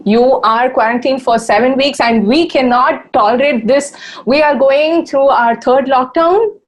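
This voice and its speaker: A woman with an Indian English accent and a somewhat high voice, a Tamil native speaker, hosts a radio interview. She asks her questions eagerly and keeps things moving with quick affirmations. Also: rough recording. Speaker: radio host.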